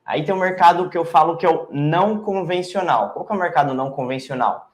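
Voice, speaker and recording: A man's voice: a little high, quick pace, roomy sound. A man with a Brazilian Portuguese accent, a real estate broker and investor, explaining financing in a casual interview. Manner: explanatory, lists numbers, uses "cara" and "né".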